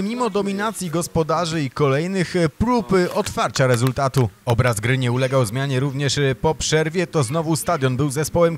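A man reading a scripted voice-over of youth football match highlights, narrating each play in steady Polish. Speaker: match commentator.

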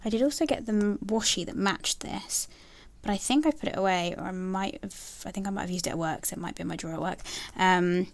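A young woman chatting casually about planner stickers, soft and gushing, with many ums and likes. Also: mid-range voice, quick pace, few pauses. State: has a bad cold, nasal and throaty. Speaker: planner sticker haul vlogger. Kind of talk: casual haul chat. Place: indoors at a table, filming her hands.

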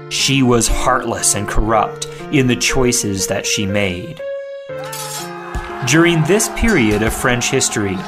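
Casting: male narrator for historical audio drama, unhurried and dramatic, music bed behind. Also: medium pitch. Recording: guitar music under the voice. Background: guitar music.

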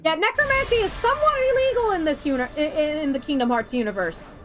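Sarcastic high-voiced woman gaming streamer. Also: animated, fast, a bit bright, slight background noise. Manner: sarcastic, swears at enemies, talks to the game. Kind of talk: ranting let's-play commentary.

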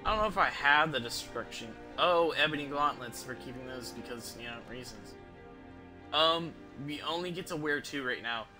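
Man streamer with a very bright voice. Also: mid-range voice, animated, moderate pace. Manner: casual, swearing, thinking aloud. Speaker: game streamer. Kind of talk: casual gameplay commentary. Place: indoors at home, streaming setup. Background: game music.

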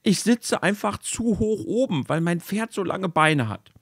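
A man's voice: sits high, expressive, unhurried, fairly bright tone, no room sound, clean studio sound, pitched above the performer's own voice, exaggerated voice. A man voicing a tearful young soldier of the royal guard who sobs and stammers in a bedtime story. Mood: weepy. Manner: sobbing, stammering.

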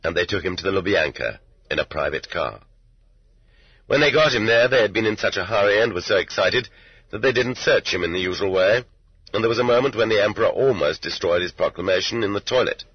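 Male narrator, low husky register, expressive literary reading, muffled cassette-era sound. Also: brisk. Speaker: audiobook narrator. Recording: dull lo-fi roomy cassette audiobook.

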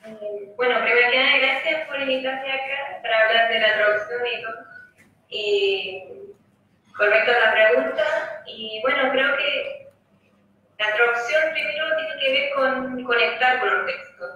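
A woman's voice: sits high, a little slow, sharp tone, very echoey, lo-fi sound. Young American woman over a distant video call. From United States. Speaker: guest translator. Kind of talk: interview.

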